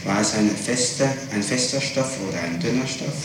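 A man acting as prosecutor, questioning a witness formally in a deliberate, precise and insistent way. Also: medium pitch. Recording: echoey hall from far off, noisy archival tape.

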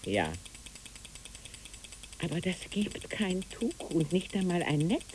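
A dreamy country woman in a radio drama, musing slowly to herself and others. Her pitch swoops widely through each phrase, over a hissy old recording. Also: big pitch swings, darkish tone. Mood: musing, probing. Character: dreamy, unhurried country woman who knits the sea. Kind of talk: literary radio play acting.

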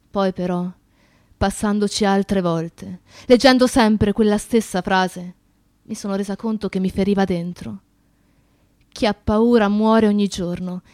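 A woman delivering a dramatic scripted monologue, solemn and emphatic, with deliberate repetition. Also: mid-range voice, a little mellow, dry recording, studio quality. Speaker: actress and narrator.

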